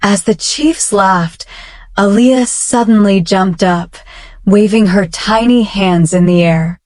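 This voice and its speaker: A woman storyteller reading a scripted children's bedtime tale with measured, deliberate dramatic phrasing. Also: medium pitch, darkish tone, dry recording.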